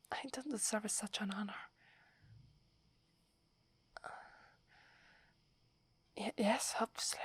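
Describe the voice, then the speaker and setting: A young female werewolf warrior, acted by a woman with an Italian accent, devoted and humble before her leader. Her voice is a very breathy, airy whisper. She speaks slowly and deferentially, in the intimate style of an ASMR roleplay. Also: mid-range voice, dry recording. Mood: hesitant, humble. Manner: slow, hushed, deferential.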